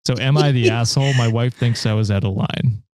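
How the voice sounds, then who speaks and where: A young man hosting a podcast, reading a story aloud and chatting about it in a relaxed, dry way. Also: mid-range voice, fairly quick. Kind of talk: story reading with casual chat.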